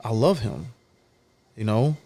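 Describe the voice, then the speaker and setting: A man's voice: lowish voice, big pitch swings, slow pace, dark tone. A man in his thirties, a guest on a podcast, talking directly and emphatically in a casual chat and repeating key phrases to drive his point home. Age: 30s.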